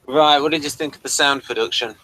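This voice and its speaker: A man's voice: mid-range voice, fairly even intonation, fairly quick, bright, forceful tone. A man hosting a casual review chat, asking questions and explaining things to his guest. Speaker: host of a review show.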